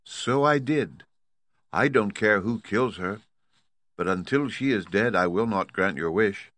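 A man voicing a lovely winged lady ruler who speaks in a cool, measured, imperious way in a storybook reading. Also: lowish voice, lively intonation, fairly bright tone, no room sound.

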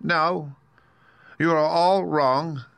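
A man's voice: mid-range voice, normal speed, sharp tone, no echo, pitched above the performer's own voice. A man voicing a meek, pleading little old fake wizard with a trembling voice, in a storybook reading. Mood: meek, confiding.

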